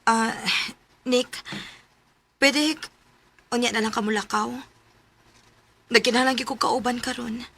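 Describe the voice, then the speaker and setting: A woman's voice: on the high side, fairly even intonation, measured pace, pauses often, a bit bright. An actress with a Cebuano accent playing a lonely young woman confiding in a close friend in a radio drama. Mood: sulky, needy. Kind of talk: Philippine radio drama acting.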